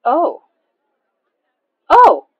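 A woman's voice: extremely slow, a little mellow, muffled recording, some chatter behind. A woman playing a flustered, stammering, easily startled young woman in an audio drama. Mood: flustered, awkward. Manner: stammering, hesitant, swoops in pitch. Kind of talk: naturalistic audio drama dialogue.

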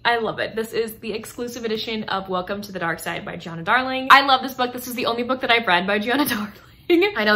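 A woman's voice: medium pitch, bright, forceful tone. A woman in her twenties chatting casually to camera as a book vlogger, gushing and emphatic in an informal way. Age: 20s. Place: small indoor room lined with bookshelves.

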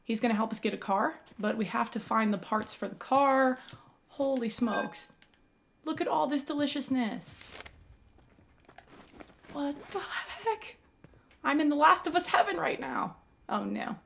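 A young woman with a raspy voice, excitedly commenting while playing a video game. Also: high voice, unhurried, pauses often, a little hiss or hum. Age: young adult. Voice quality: raspy from yelling. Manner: excitable, exclaiming at finds. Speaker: gaming YouTuber. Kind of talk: let's play commentary.

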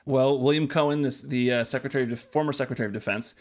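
Guy hosting a podcast, medium pitch, just chatting casually with his co-host. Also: steady tone, racing.

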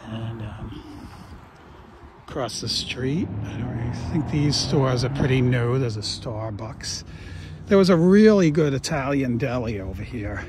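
A middle-aged man from Long Island, New York, reminiscing casually and slowly about his old neighborhood on a walking tour, recorded outdoors on a phone he carries. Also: medium pitch, lively intonation. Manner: slow, rambling reminiscing with fillers. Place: outdoors on a suburban street. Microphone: phone camera held while walking. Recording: outdoor traffic noise.

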